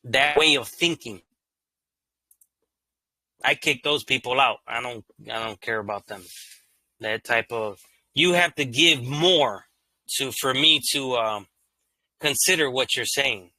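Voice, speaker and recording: A man hosting his own channel, reading court rulings aloud in an even, monotone way and breaking off into casual, slangy commentary. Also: mid-range voice, slow, pauses often, no room sound, low-quality recording. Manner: reads legal text evenly, then breaks into loose slangy asides.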